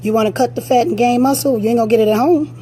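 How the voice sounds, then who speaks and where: A woman fitness coach with a raspy voice, giving blunt, direct motivational advice during a live-stream Q&A on a phone. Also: fairly high pitch, racing, dark tone, slight background noise.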